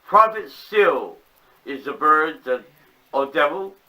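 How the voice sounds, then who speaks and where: A man giving a theatrical poetry reading, swinging between loud declaiming and hushed low tones. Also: sing-song, slow pace. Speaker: channel host reading a poem. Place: small home room set up as a paranormal museum. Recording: distant mic with faint music behind.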